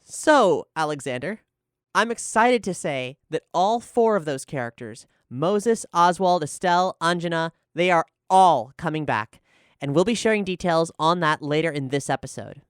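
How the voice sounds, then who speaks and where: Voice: mid-range voice, animated, normal speed, smooth, no echo, clean studio sound. An enthusiastic, articulate podcast host talking conversationally through a question-and-answer show. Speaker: showrunner and host.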